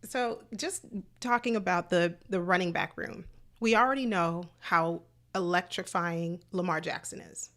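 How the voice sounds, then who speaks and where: Female host, live-stream sports talk, slightly hoarse, lowish voice, chatty with viewers. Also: unhurried, fairly bright tone. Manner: chatty, greets viewers in the chat by name.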